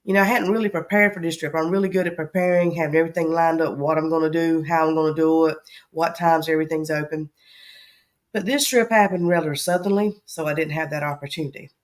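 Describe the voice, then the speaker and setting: A woman's voice: sits low, fairly even intonation, fast talker. A woman with a Southern accent hosting a travel vlog in casual chat, her voice scratchy from allergies and her talk rambling with frequent ums. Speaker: travel vlogger host.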